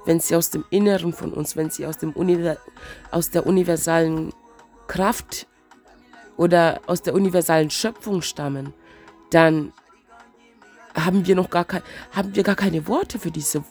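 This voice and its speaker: A woman with a cold talks slowly in a casual spiritual vlog, her voice stuffy and wheezing. Her tone sits a little low and turns gravelly and fried, as she searches for words in an unhurried, reflective way. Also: fairly even intonation, darkish tone, faint music behind. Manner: slow, searching, reflective musing. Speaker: vlogger.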